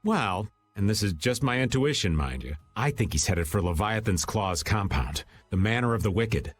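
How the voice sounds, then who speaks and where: A man's voice: fairly low pitch, lively intonation, normal speed, slightly rough. An actor playing a laid-back, wily older man in a video game, talking in a casual, folksy, theatrical way. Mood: sheepish, casual. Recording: music under the voice. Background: game background music.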